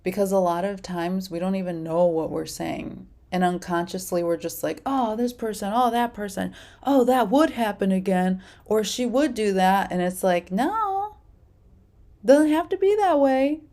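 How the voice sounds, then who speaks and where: A woman's voice: mid-range voice, normal speed. A woman hosting her own podcast, talking casually and encouragingly to listeners and reciting affirmations. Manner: gentle, encouraging, speaks in affirmations. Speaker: podcast host. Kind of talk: casual solo podcast talk.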